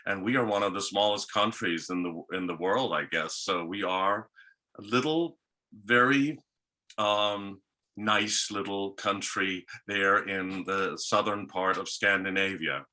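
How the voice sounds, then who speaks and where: A man's voice: deep voice, a little slow, bright, forceful tone. A man with a Danish accent, a guest telling his life story in a rambling, casual interview. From Denmark. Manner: rambling, with many restarts.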